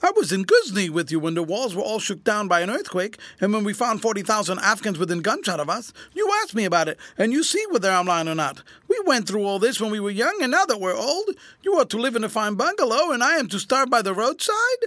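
A man voicing a whining, cringing old ex-soldier turned tramp, rough-spoken and slyly malicious, in a storybook reading. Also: high-pitched, sing-song, fast talker, pushed and bright, no room sound, voice pushed up, full character voice. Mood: pleading, resentful. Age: older. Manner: wheedling, rough colloquial speech.

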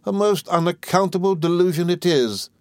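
Man acting as severe spinster sister, raised pitch, sour, sharp-tongued, drawn-out emphasis, disapproving, Victorian storybook. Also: expressive, dry recording, natural voice. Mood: sharp, sardonic. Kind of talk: audiobook character in a Victorian novel.

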